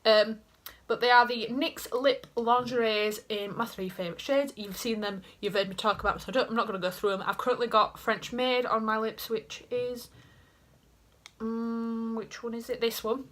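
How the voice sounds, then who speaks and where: A woman's voice: on the high side, steady tone, moderate pace, a bit bright. A young woman with a Yorkshire accent, chatting casually to camera in her bedroom, rambling with self-mocking asides. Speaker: beauty vlogger. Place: small bedroom indoors. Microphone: camera in front at desk height.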